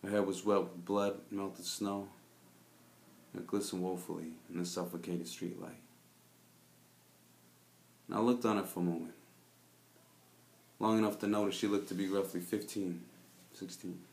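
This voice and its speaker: A man's voice: deep voice, flat delivery, normal speed, gravelly, pauses often, a little mellow, reverberant, slight background noise. A man playing a cynical, world-weary private investigator, delivering a brooding noir monologue in a confiding, jaded manner. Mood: somber. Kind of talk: scripted noir detective monologue.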